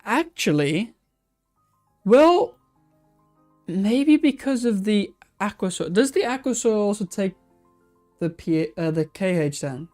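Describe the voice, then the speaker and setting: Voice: mid-range voice, big pitch swings, slow pace, pauses often, a little mellow, soft background music. A live-stream host chatting casually while reacting to old aquarium videos, thinking aloud with many restarts and answering viewer comments.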